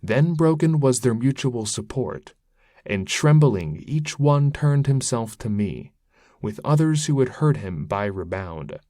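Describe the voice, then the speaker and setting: A man reading epic poetry aloud as narrator, in a solemn, measured, declamatory manner. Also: mid-range voice, lively intonation, mellow, no echo, studio quality. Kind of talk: dramatic recital of epic verse read aloud.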